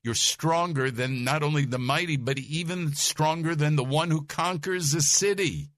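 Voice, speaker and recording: Soft-voiced man teaching scripture. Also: a little high, moderate pace, no echo, clean studio sound. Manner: numbered points, chuckles, asks listeners questions. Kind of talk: podcast Bible teaching.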